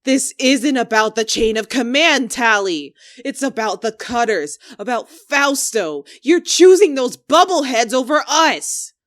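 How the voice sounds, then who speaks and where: A woman's voice: a little high, expressive, measured pace, hardly any pauses, a bit bright, dry recording, studio quality. A woman playing a domineering, scornful young female squad leader in a dramatic argument, biting, sarcastic and commanding. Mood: angry. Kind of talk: dramatic two-character argument performance.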